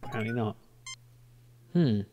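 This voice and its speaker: A man's voice: medium pitch, lively intonation, normal speed, warm tone, muffled recording, a little hiss or hum. A man with a British accent giving casual, hesitant game commentary, puzzled and thinking aloud. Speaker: gamer commentating a let's play.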